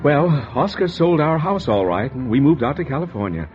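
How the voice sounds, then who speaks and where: An actor playing an anxious, guilty husband hiding a murder, with a hesitant, stammering American delivery in 1940s radio noir style. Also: male voice, on the high side, big pitch swings, fairly quick, loud music behind the voice. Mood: uneasy calm. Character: anxious guilty husband, secretive murderer, narrating his crime. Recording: dull, muffled vintage 1940s radio recording.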